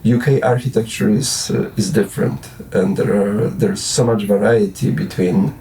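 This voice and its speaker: A man's voice: lowish voice, fairly even intonation, moderate pace, mellow, recorded from a distance, poor audio. A Polish man in his forties with a Polish accent, rambling and restarting as a guest in a casual late-night interview. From Poland. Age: 40s. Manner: rambling, hesitant, many restarts and fillers. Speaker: guest musician.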